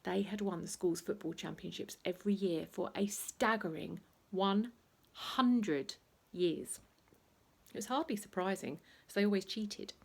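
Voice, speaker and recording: A woman reading a children's bedtime story aloud in an expressive, playful way, acting out noises as she goes. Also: mid-range voice, a little slow, gravelly, breathy edge. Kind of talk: animated bedtime story reading with sound effects and asides.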